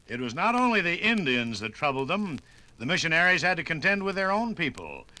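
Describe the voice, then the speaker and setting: A man's voice: on the high side, very animated, fairly quick, bright, forceful tone, poor audio. A man narrating a scripted 1950s radio documentary with dramatic, oratorical phrasing. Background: music.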